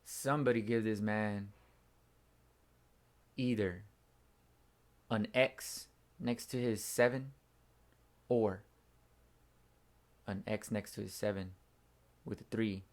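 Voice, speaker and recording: A young man hosting a casual sports reaction, excitable and slangy, with a hoarse, nearly lost voice from screaming. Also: on the low side, slow pace, long pauses. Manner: excitable, slangy, says bro a lot. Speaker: fan channel host. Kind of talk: casual post-game reaction.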